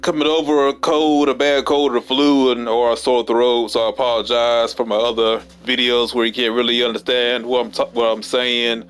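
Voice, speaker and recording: A man records a casual vlog during downtime at work, his voice hoarse from a cold. He stops and restarts often, leaning on fillers as he thinks aloud. Underneath, the tone stays smooth and resonant at an ordinary pitch. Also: flat delivery. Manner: halting, many fillers and restarts. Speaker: vlogger. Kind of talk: casual rambling monologue.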